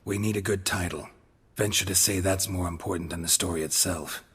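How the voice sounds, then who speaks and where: An actor playing a gruff, laconic monster hunter in a video game, gravelly and deadpan in delivery. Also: male voice, low voice, a little fast, long reverb tail, heavily acted. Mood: dry. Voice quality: gravelly, husky. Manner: terse, deadpan.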